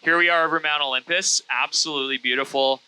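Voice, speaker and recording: A man's voice: a little high, fairly even intonation, fast, bright, forceful tone, clear, resonant tone, low-quality recording. A man, a host and pilot, chatting casually and explaining things in an easygoing, informal way. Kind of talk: casual chat and hands-on instruction. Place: small airplane cockpit in flight.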